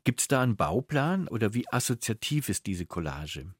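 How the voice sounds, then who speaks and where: A man hosting a cultural radio interview, phrasing his questions carefully and deliberately. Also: lowish voice, big pitch swings, slightly rough, no room sound, studio quality. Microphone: close, dry studio microphone.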